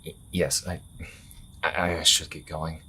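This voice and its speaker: A man's voice: very deep voice, level tone, a little slow, sharp tone, slightly rough, full character voice. A man playing an earnest, conflicted young priest in a fantasy audio drama, hesitant and prone to stammering. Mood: flustered, bantering. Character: earnest, conflicted twenty-two-year-old male priest.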